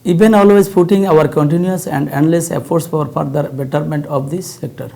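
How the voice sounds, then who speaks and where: Creaky, mellow Bangladeshi speaker giving formal speech. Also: medium pitch, steady tone, fairly quick. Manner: formal, reading from a script. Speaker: company executive giving a speech. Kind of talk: formal prepared corporate speech.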